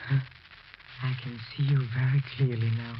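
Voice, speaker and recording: A man's voice: mid-range voice, little pitch movement, normal speed, natural voice. An actor playing a grief-stricken, obsessed widower pleading melodramatically for his dead wife in a 1940s radio horror drama. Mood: entranced. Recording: muffled, noisy old radio broadcast.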